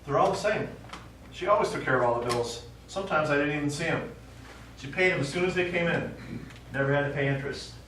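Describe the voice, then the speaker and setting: An actor playing a stubborn elderly widower, a war veteran and retired teacher who reminisces and quotes verse in a stage play. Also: male voice, fairly high pitch, quick pace, a bit bright, close to an everyday voice. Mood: reminiscing. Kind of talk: live stage play acting. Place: small indoor theatre stage. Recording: echoey theatre, distant mic, faint noise.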